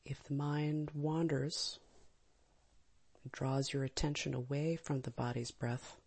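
A woman leading a guided meditation in a calm, gentle, soft and breathy manner, with long pauses. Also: extremely low pitch. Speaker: meditation teacher. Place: live small group session.

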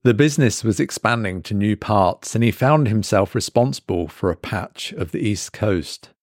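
A man reading a scripted horror story as a dramatic narrator, measured and deliberate with pauses for effect. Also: on the low side, animated, dry recording, clean studio sound.

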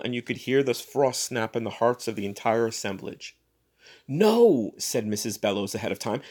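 Male story reader, mid-pitched and expressive. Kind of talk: dramatic literary narration.

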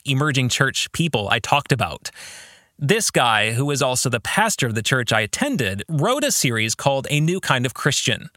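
A man hosting a solo podcast as an author, talking reflectively and personally, with emphatic phrasing and pauses mid-sentence. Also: a little high, sharp tone, no room sound, studio quality. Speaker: podcast host and fiction author.

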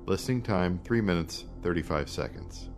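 A man's voice: sits low, moderate pace, over music. A man reading scripted announcements as a podcast announcer. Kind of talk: scripted narration.

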